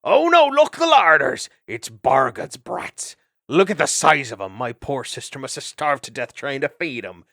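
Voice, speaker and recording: A man voicing a boisterous female otter aunt who scolds in a shrill, comic way. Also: very animated, normal speed, vocal fry, bright, forceful tone, no echo, studio quality, exaggerated voice. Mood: mock alarm.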